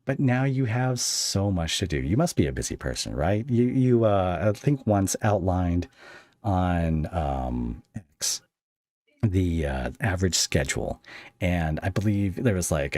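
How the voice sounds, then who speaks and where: A man's voice: sits low, expressive, a little slow, darkish tone, no room sound. A man hosting a casual interview, talking in a rambling, stop-start way. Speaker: podcast host interviewing a guest.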